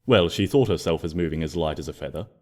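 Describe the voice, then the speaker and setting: A man narrating a scripted story expressively, in a gentle storybook manner. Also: extremely low pitch, fast. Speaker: fan fiction narrator.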